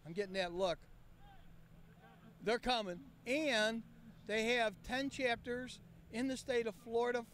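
A man's voice: very high voice, fairly even intonation, unhurried, pauses often, fairly bright tone, slight background noise. A man hosting a live stream at an outdoor event, chatty and upbeat, losing his voice from a cold. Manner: chatty and upbeat, coaxing guests. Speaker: host. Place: outdoor park event beside a van. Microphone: handheld mic.

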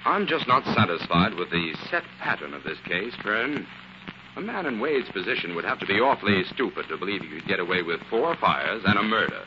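A man's voice: fairly low pitch, very animated, fairly quick. A man with an American accent playing a level-headed, inquisitive detective in a 1940s radio drama. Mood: thoughtful, businesslike. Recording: muffled, noisy 1940s radio recording.

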